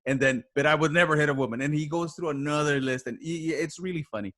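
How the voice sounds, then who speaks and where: A man chatting casually as a podcast guest, speaking haltingly and repeating words as he searches for his phrasing. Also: on the high side, fairly bright tone. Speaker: podcast guest, writer and voice actor. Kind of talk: casual conversation.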